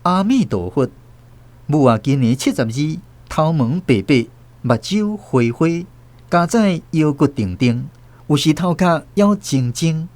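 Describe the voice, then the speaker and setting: A man's voice: a little high, expressive, measured pace, darkish tone. A man with a Taiwanese accent narrating a first-person story dramatically for a radio drama.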